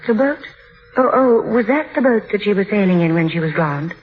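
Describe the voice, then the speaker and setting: A woman's voice: mid-range voice, lively intonation, moderate pace, slight background noise, close to an everyday voice. An actress playing a timid, anxious young bride in an old radio drama, earnest and hesitant as she narrates and speaks. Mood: tentative, curious. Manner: hesitant, earnest, breathlessly nervous. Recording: dull vintage radio broadcast with music.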